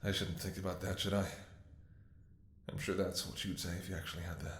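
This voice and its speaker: A man playing a devoted, anxious doctor in an intimate audio roleplay, speaking to his sick lover in a tender, emotional, halting way. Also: deep voice, steady tone, natural voice. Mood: tender, tearful. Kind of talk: intimate scripted ASMR roleplay acting. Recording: echoey room.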